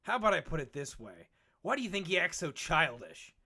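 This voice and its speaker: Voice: mid-range voice, very animated, brisk, sharp tone, exaggerated voice. A voice actor playing a brash, cynical veteran Pokemon explorer in a comic dub, talking in a cocky, slangy, needling way. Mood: sly, knowing. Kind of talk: animated comic dub acting.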